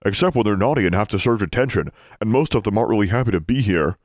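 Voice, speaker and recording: A man voicing a small, eager, pleading male elf with a croaky voice and childlike grammar, in a storybook narration. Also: fairly low pitch, expressive, fast, dry recording, studio quality. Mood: sad. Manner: childlike broken grammar, wailing when upset. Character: small male library elf, eager, pleading, book-loving, easily anguished.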